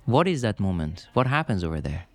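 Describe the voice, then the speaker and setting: A man's voice: on the low side, big pitch swings, brisk, dry recording. A man hosting a podcast table conversation, encouraging his guests and repeating short affirmations. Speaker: podcast host. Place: event venue, at a podcast table.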